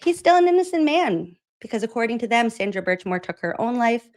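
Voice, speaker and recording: A woman's voice: a little high, big pitch swings, fairly quick, darkish tone. A woman from Massachusetts hosting a political commentary show, chatty and sarcastic, laughing often. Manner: sarcastic, incredulous. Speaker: host.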